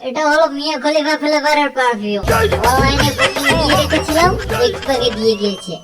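A man's voice: very high-pitched, fast. A man voicing a sweet-talking cartoon tree husband. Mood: coaxing. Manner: coaxing, sweet-talking, teasing. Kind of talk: comic cartoon dialogue. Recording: distant from the mic, under loud music.

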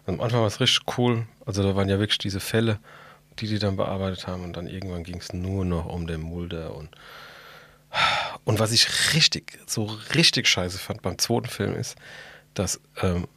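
Low-voiced man chatting bluntly, very dry sound. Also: fairly even intonation, moderate pace, sharp tone, dry recording. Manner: colloquial and blunt, with mild swearing. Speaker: podcast co-host. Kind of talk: casual chat.

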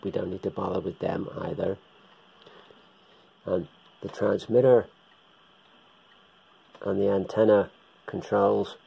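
A man giving a casual, hands-on equipment demonstration as a hobbyist presenter, talking unhurriedly in short, halting bursts. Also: low voice, creaky, warm tone, breathy edge, poor audio. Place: indoors, room with radio gear on a shelf.

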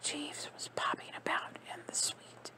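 A woman reading a comic novel aloud in a soft, steady whisper, in the style of an ASMR audiobook. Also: a little slow. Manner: soft unvoiced whisper throughout. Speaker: narrator.

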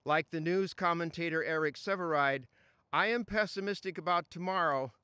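An older man reading a written eulogy aloud at an outdoor funeral, in a measured, solemn way, into a stand mic. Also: fairly high pitch, pushed and bright, smooth, no room sound. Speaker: reader of a friend's tribute. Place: outdoors at a funeral gathering among trees.

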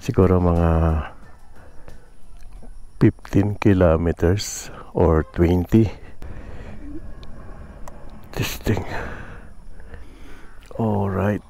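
A man giving chatty, unhurried commentary while riding a scooter outdoors on a road. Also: deep voice, fairly even intonation, vocal fry, mellow. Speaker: motovlogger. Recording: wind and traffic noise.

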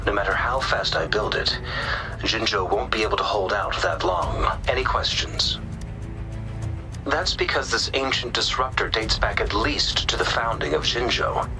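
An actor playing a calm, dry, analytical male scientist in a video game, giving a measured, precise technical briefing. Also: fairly low pitch. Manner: measured, precise, matter-of-fact. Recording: music behind the voice.

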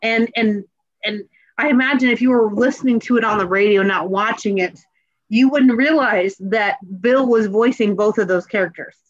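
A woman's voice: on the high side, normal speed. An earnest, appreciative woman talking casually in a panel discussion over a video call. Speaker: panel participant in a theatre talkback. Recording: slightly dull video-call audio.

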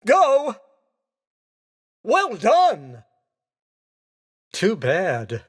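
A man voicing a theatrical, excitable skeleton gentleman in a racing video game, with courteous, exclamatory delivery. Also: sits high, very slow, breathy edge, full character voice. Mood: gleeful, then dismayed. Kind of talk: video game character voice clips.

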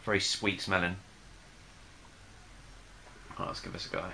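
A young man casually reviewing a beer at home, talking in a relaxed, hesitant way with many fillers. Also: sits low, a little slow, creaky, noisy background. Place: small room in front of a plain wall.

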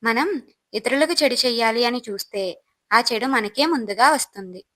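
A woman's voice: a little high, normal speed, fairly bright tone. A woman narrator reading a scripted moral story.

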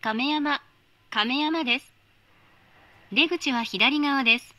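High, bright automated train announcer woman. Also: no echo. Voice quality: polite, measured, formal.

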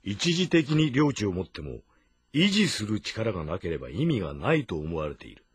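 An actor playing a grave, measured older sworn-brother general in a historical game drama, with deliberate, stern diction. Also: male voice, lowish voice, very animated, breathy edge, no room sound, heavily acted. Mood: measured, sober. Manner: deliberate, stern, martial, old-fashioned diction.

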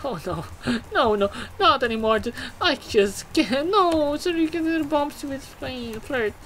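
An excitable gaming host giving casual commentary, exclaiming and swearing while reading game text aloud. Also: sits high, unhurried, a little hiss or hum, low-quality recording.

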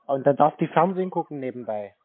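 Persistent man with highish voice, phone-like sound. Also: lively intonation, fast. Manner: naive-sounding, persistent, questioning. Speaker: prank caller posing as customer. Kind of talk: phone conversation. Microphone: telephone.

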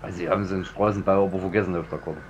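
A man with a German accent chatting over voice chat during a driving game, brisk and teasing toward his friend. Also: sits low, steady tone. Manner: teasing, brisk and matter-of-fact. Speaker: co-player on voice chat. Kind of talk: casual gaming chat. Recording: dull voice-chat audio with faint noise.

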